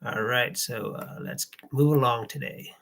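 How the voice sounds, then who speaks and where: A man's voice: medium pitch, fairly even intonation, unhurried, a bit bright. A man hosting a live stream in a casual way, thinking aloud with a hesitant delivery.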